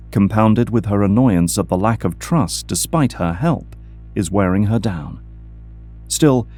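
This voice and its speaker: Low, mellow male drama narrator. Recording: music under the voice.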